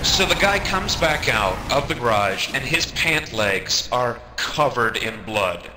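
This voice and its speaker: A man telling a comedic anecdote in an animated way, acting out the quotes as he goes. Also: mid-range voice, unhurried. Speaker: storyteller, online gamer. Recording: noisy amateur game-session recording.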